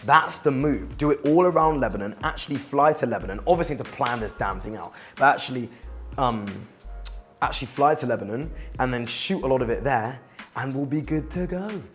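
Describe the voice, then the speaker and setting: A young man from the West Indies, chatting casually in a vlog, animated and self-mocking, swearing and saying "like" a lot. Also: mid-range voice, brisk, faint music behind. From Guyana and the West Indies. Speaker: vlogger and outreach coach. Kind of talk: casual vlog talk to camera.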